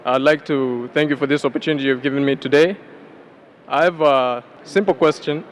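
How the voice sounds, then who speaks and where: A man's voice: medium pitch, fairly even intonation, brisk, a little hiss or hum. A man politely putting a question as an audience member at a public lecture. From Tanzania.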